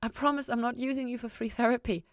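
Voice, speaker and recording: A woman reading audiobook-style dialogue as an upset, bubbly young woman, halting and exclamatory in her awe. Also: on the high side, fairly even intonation, no echo, studio quality, pitched above the performer's own voice, close to an everyday voice. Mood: sheepish.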